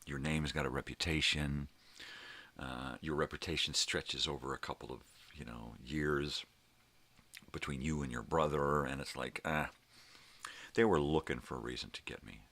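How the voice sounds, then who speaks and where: A middle-aged man with a gravelly voice, rambling casually as he tells stories with swearing and emphatic bursts. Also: a bass voice, steady tone, moderate pace, pushed and bright. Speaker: host of a daily personal vlog.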